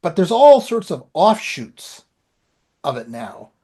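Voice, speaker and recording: Higher-voiced man in casual podcast chat. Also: sing-song, slow, dark tone, husky. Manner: colloquial, acts out remembered exchanges. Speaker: podcast co-host and author.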